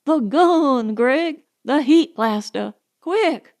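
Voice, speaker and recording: A woman's voice: high-pitched, animated, very slow, darkish tone, voice pushed up, exaggerated voice. A woman voicing a desperate male spaceman in an audiobook, with urgent, pleading calls. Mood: desperate fear. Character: spaceman companion, blunt, desperate in danger.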